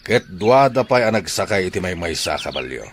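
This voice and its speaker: A man's voice: low-pitched, sing-song, normal speed, a little hiss or hum. A man acting a stern, suspicious older ranch master in a radio-style drama, with an Ilocano accent. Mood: suspicious, questioning.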